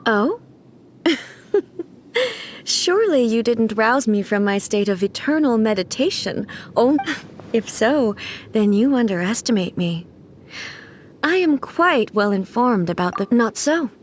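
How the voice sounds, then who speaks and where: A woman's voice: on the high side, sing-song, full character voice. A woman voicing an imperious, composed female deity ruler in a video game, speaking formally with aloof condescension. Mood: haughty. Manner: formal, measured, haughty. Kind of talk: dramatic video game voice acting.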